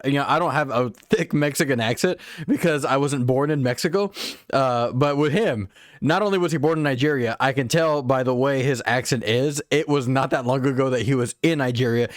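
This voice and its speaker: A man's voice: mid-range voice, dry recording, clean studio sound. A man hosting a reaction video, speaking earnestly and often chuckling, quoting scripture to make his case. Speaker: host of a reaction channel. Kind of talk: casual reaction commentary.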